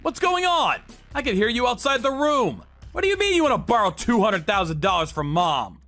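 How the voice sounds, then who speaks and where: A man's voice: high voice, very animated, normal speed, pushed and bright, soft background music, voice pushed up, exaggerated voice. A man voicing a firm, exasperated, protective working-class older brother in a dramatized story. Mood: shocked.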